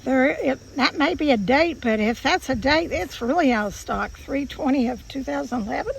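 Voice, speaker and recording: A hoarse woman hosting a homesteading vlog, chatting casually and explaining tips to viewers while working outdoors. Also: high voice, expressive, normal speed, a little hiss or hum, poor audio. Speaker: homesteading channel host.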